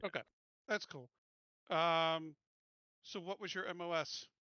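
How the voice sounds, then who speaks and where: Man, tabletop player, casual chat and narration, forward bright tone, slightly dull recording. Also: a little high, fairly even intonation, a little slow, clear, resonant tone, no room sound.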